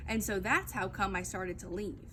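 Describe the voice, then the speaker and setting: A woman reading a children's book aloud as a storytime reader, in an expressive, emphatic storytelling manner. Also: medium pitch, moderate pace, slight background noise.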